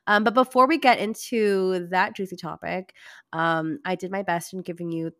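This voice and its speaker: A woman hosting a casual podcast, her voice hoarse and raspy from a cold, bubbly and gushing as she talks. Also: fairly low pitch, fairly even intonation, normal speed, fairly bright tone, no echo, clean studio sound. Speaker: podcast host.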